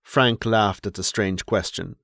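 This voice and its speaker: A man narrating an audiobook as a measured, dramatic storyteller reading scripted literary prose. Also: on the low side, fairly even intonation, vocal fry, fairly bright tone, dry recording, clean studio sound.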